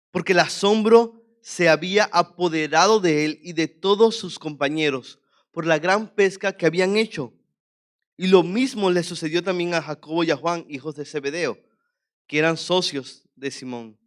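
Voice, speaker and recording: A man's voice: fairly high pitch, fairly bright tone, clear, resonant tone. A man from Venezuela with a Venezuelan accent, preaching as a guest and reading scripture expressively in a church. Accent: Venezuelan Spanish. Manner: earnest, jovial, reads scripture expressively. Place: church hall.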